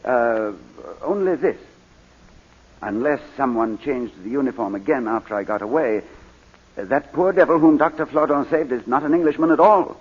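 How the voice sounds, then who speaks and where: An actor playing an anguished British gentleman and war veteran giving halting, stammering testimony in a 1940s radio drama. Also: mid-range voice, lively intonation, slightly rough. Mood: haunted. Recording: dull, lo-fi 1940s radio recording.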